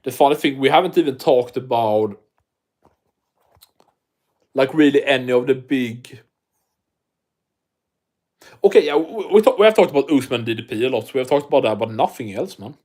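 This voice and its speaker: A man's voice: mid-range voice, normal speed, pauses often, darkish tone. A man with a thick non-native accent hosting a casual livestream, rambling and swearing as he answers viewer comments. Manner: rambling, profane, restarts often. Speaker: livestream host.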